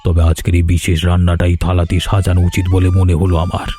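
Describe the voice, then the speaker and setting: An actor voicing a kind, generous older village gentleman in a cartoon, speaking in a gentle, fatherly way. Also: deep voice, completely flat delivery, brisk, lightly acted. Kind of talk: cartoon voice acting.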